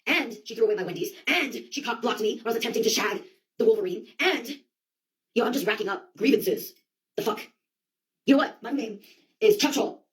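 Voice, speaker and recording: Fast-talking, theatrical woman storyteller. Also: fairly high pitch, animated. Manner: sweary, theatrical, slangy delivery with quoted outbursts. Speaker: monologuist. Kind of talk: rambling comic storytelling monologue.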